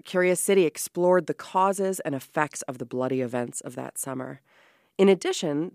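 A woman's voice: sits low, dry recording, clean studio sound. A woman giving a scripted introduction to a radio programme. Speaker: radio team member introducing a show.

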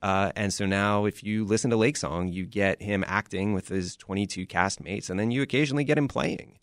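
A man's voice: fairly low pitch, brisk, no echo, studio quality. A man speaking as a producer and interview guest, explaining things earnestly and emphatically in a conversational way. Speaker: audio drama founder and producer, interview guest.

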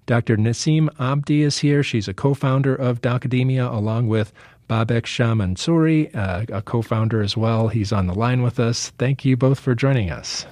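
A man hosts a public radio interview show, his voice at an ordinary middle pitch. He asks measured, searching questions, often restarting. The studio sound is dry and close. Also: a little mellow, no room sound. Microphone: studio microphone.

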